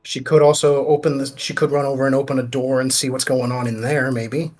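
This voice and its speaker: A man's voice: medium pitch, flat delivery, fairly quick, soft background music. A man chatting casually as a tabletop player, thinking aloud and explaining rules and tactics. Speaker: player in a tabletop roleplaying game. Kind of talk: casual tactical game chat.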